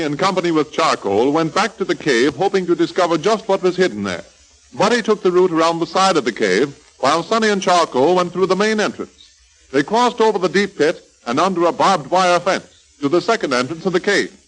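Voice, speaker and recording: Man with a high American voice to narrate a 1940s-style radio adventure serial. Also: expressive, fairly quick, a bit bright, low-quality recording.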